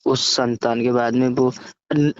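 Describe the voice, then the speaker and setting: A man casually telling a story over the phone, halting and often stammering, repeating syllables and words. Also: mid-range voice, flat delivery. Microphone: phone call. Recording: muffled, echoey phone call recording.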